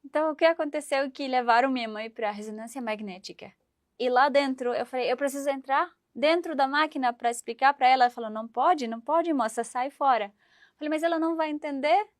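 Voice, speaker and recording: A woman speaking, telling lively stories as a talk show guest. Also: high-pitched, fast talker, dry recording, studio quality. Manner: animated, acts out voices in her stories. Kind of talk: casual interview storytelling.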